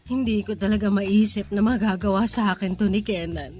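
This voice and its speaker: An actress in a Filipino radio drama playing a heartbroken, stubborn betrayed wife who is drunk and tearful. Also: female voice, medium pitch, brisk, darkish tone, faint music behind, close to an everyday voice. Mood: bitter, hurt.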